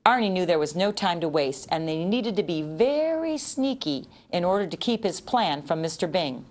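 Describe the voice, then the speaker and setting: A woman narrating a children's story as an expressive read-aloud. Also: lowish voice, normal speed. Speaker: storybook reader.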